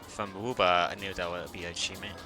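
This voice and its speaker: A man's voice: low voice, little pitch movement, fast. A man livestreaming a video game, giving casual, offhand commentary in muttered asides. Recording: game music loud behind voice. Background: video game music.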